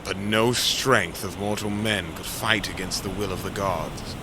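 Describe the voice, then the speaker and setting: Slow, solemn male epic narrator. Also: low-pitched, fairly even intonation, noisy background. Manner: solemn, portentous. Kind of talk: dramatic scripted narration.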